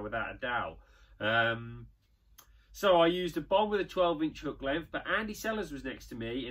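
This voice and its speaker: A man's voice: medium pitch, a bit bright. A young man in a tackle shop, casually talking through his past fishing matches and thinking aloud with plenty of ums. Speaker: angler and channel host. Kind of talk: casual reminiscing commentary.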